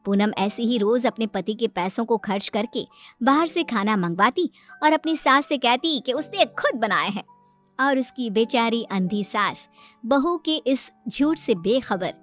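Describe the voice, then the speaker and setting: A woman's voice: on the high side, sing-song, measured pace, soft background music. A woman narrator reading a scripted children's moral story.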